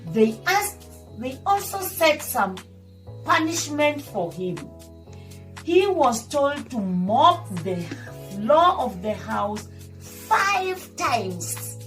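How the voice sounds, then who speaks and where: A woman with a fairly high voice reads a children's story like a teacher in class. Her delivery is very slow and didactic, stopping to repeat and explain. She is recorded a little away from the microphone, with music behind her. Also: sing-song, fairly bright tone, recorded from a distance, low-quality recording. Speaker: teacher reading a story aloud. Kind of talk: read-aloud children's story with simple explanatory asides.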